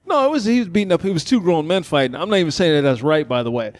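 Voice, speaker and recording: A man with a high, bouncy voice that swings all over the place as he talks. He tells his story in loose, profane banter, breaking into laughs often. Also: rapid-fire, no room sound, clean studio sound. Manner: animated, laughs often, swears freely.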